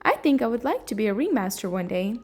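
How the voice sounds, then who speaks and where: A woman's voice: on the high side, very animated, fast talker, a little mellow, slightly rough. A woman reading aloud, voicing a polite, earnest young male bear in a storybook.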